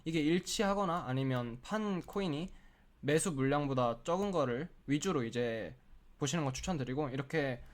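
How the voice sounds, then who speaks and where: A man with a cold and a sore throat who clears his throat often, talking through a screen-share tutorial in a chatty, explanatory way with lots of filler words. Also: on the high side, normal speed. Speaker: crypto trading tutorial host.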